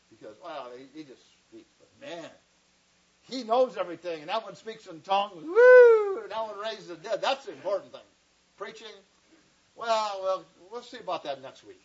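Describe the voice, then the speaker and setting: A man with a high voice leads an informal Bible class, talking to his students in a loose, conversational way. The recording is muffled and lo-fi, made in a classroom. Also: a little hiss or hum. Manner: conversational, chatty asides with the class. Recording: dull lo-fi recording.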